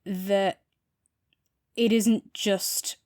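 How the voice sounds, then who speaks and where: A woman's voice: mid-range voice, fairly even intonation, extremely slow, a little mellow, no echo. A woman co-hosting a podcast, chatting casually and reflectively as she gives a review. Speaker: podcast co-host reviewing audio fiction.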